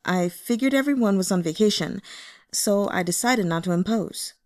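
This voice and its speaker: A man's voice: sits high, normal speed, close to an everyday voice. A woman voicing a humbled, eager male would-be author in an audiobook, hesitant and deferential. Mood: polite, pleading. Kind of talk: audiobook character dialogue.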